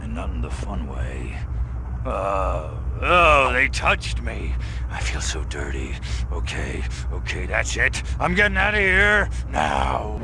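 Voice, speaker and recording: Game role: sarcastic, violent male alien, unhurried and very animated in pitch, with clear background noise. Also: exaggerated voice. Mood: groggy, disgusted. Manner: sarcastic, melodramatic muttering and threats. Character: irritable sardonic male alien invader, gleefully violent. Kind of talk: video game cutscene acting.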